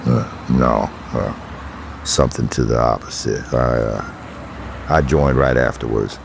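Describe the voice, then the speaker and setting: An actor playing a weary, gruff police detective in a horror audio drama, with a wry, guarded, commanding manner. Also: male voice, a bass voice, steady tone, slow pace, a little hiss or hum, heavily acted. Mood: subdued, pained.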